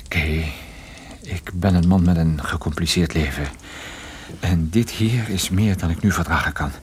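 A man playing a troubled, wry and guarded private detective in a radio play, with a husky voice and a dry, hesitant manner. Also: low-pitched, normal speed, a little hiss or hum, lightly acted. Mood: tense. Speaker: lead character and narrator. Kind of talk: radio play narration and dialogue.

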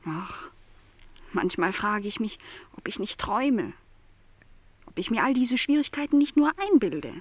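A lonely young housemaid in a radio play. Her voice is somewhat high, with a wistful, doubting and plaintive way of questioning. The recording is dry, with hardly any room around her. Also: big pitch swings, a little slow, pauses often, no echo. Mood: despondent. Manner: plaintive, questioning, formal wording. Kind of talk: literary radio play dialogue.

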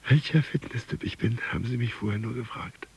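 An actor playing a wistful man dreaming of getting slim, speaking in halting husky fragments in a radio drama monologue. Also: medium pitch. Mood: earnest. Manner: halting fragments, at times dropping to a whisper. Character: wistful self-improving dieter dreaming of being slim.

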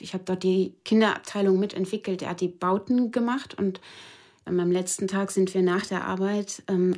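Actress as grieving woman in a german crime radio play, husky, halting, feeling her way through memories. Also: medium pitch, darkish tone, close to an everyday voice. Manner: halting, hesitant recollection. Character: grieving woman, a dead man's former lover, questioned as witness.

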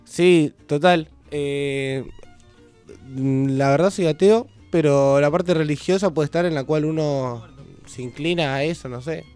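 A man's voice: a little high, measured pace, darkish tone, clear, resonant tone, soft background music. A man with a Rioplatense Spanish accent chatting as a radio guest, hesitant and thinking aloud. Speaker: interview guest. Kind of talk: casual radio conversation.

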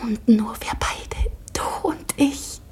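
A schoolgirl daughter in a radio drama, her voice high and youthful. She confides earnestly and anxiously, in poetic phrases that halt with intensity. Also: fairly even intonation, a little mellow, husky, whispered, heavily acted. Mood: tender, hushed. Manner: earnest, poetic, halting delivery. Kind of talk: literary radio play acting.

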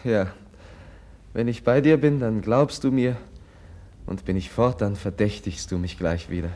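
An actor playing a gentle, compassionate young man in a 1950s German radio drama, speaking earnestly and softly, as if pleading. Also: on the low side, a little slow, natural voice. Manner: earnest, soft-spoken and pleading.

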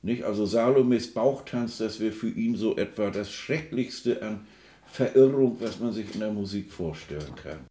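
A man's voice: lowish voice, moderate pace, mellow, reverberant. A man giving spontaneous, discursive explanations as a music expert, searching for words and often seeking agreement.

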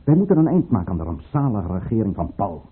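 An actor playing a ruthless, fervent count who leads a conspiracy, with declamatory, commanding delivery in a Dutch radio play. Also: male voice, on the low side, very animated, quick pace, husky, heavily acted. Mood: persuasive. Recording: muffled, narrow-band old radio recording.

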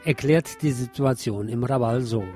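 A man presenting a scripted news magazine on the radio as narrator, with a husky voice. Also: medium pitch, normal speed, darkish tone, faint music behind.

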